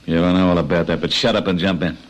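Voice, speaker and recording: An actor playing a cocky, bossy ringleader of small-time crooks in a radio crime drama, with an Australian accent and brisk, slangy speech. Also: male voice, low-pitched, steady tone, slight background noise, close to an everyday voice.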